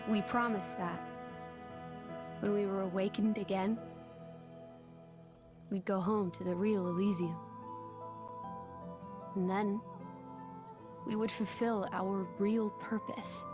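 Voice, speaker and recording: Woman as a slow-speaking, solemn, gentle young game heroine. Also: mid-range voice, fairly even intonation, music in the background, natural voice. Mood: earnest, hopeful. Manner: measured, earnest, solemn storytelling. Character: gentle, solemn young woman, an ancient living weapon recounting her past. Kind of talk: video game cutscene voice acting.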